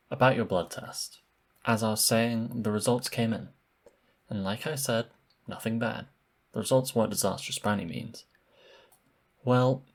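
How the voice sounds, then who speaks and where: A man's voice: fairly low pitch, creaky. A man voicing an obsessive vampire in an ASMR roleplay, polite and insinuating, with a possessive, menacing undertone. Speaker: voice actor in audio roleplay.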